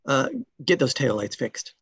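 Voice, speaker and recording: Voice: medium pitch, animated, unhurried, a bit bright, breathy edge, heavily acted. A performer playing a hurt, proud male police officer leaving a phone message at a table read. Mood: hurt, curt. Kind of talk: comedy screenplay table read.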